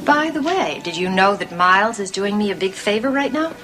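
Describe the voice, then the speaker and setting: Woman playing a lively, reassuring friend. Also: mid-range voice, fairly quick, lightly acted. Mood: reassuring, cheerful. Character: kind, reassuring female friend and aunt. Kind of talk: television soap drama dialogue. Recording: music behind dialogue.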